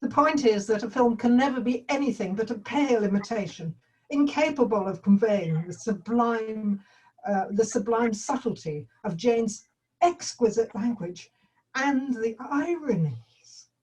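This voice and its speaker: A woman's voice: on the high side, expressive, unhurried, a little mellow, husky, rough recording. A woman acting a haughty, pedantic bookworm in a comedy script read, speaking in a prim, pompous, precise manner. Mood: disdainful. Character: haughty, pedantic bookish woman, disdainful purist.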